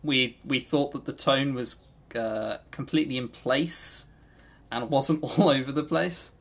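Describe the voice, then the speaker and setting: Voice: mid-range voice, a little slow. A podcast co-host chatting casually in a jokey, sarcastic and rambling way, prone to going off on tangents. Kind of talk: casual, tangent-prone banter about visual novels.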